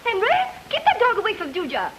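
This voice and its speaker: A woman's voice: very high voice, big pitch swings, fast, background noise, voice pushed up. A woman voicing a bickering family and their dog in an exaggerated mock radio skit. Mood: excitable. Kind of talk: mock radio skit, playing every part.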